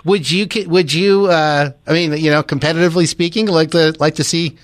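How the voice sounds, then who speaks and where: A man's voice: on the high side, steady tone, fairly quick, no room sound. An American man hosting a radio show, interviewing a guest in a conversational style.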